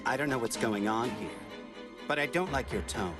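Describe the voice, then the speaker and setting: A man's voice: medium pitch, expressive, normal speed, lightly acted. A man playing a cool, impatient young android tough guy in an anime game. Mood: cool, wary. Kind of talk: dubbed anime game voice acting. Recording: music under the voice.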